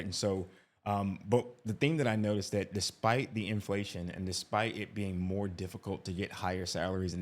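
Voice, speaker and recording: A man giving casual reaction commentary as an expat vlogger, talkative, often tagging "right?" and restarting his sentences. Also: lowish voice.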